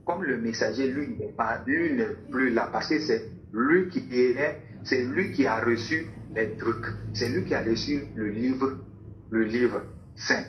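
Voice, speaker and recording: A man's voice: medium pitch, measured pace. A man with a West African French accent arguing insistently on a phone call, repeating his points while he is cut off. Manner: insistent, repeats himself, often interrupted. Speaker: caller in a religious debate. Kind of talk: heated phone debate.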